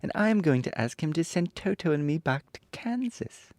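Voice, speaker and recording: Storybook girl, young, polite and plucky male reader acting; much raised pitch, dry. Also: lively intonation, normal speed, a little mellow, no room sound, close to an everyday voice. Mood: hopeful.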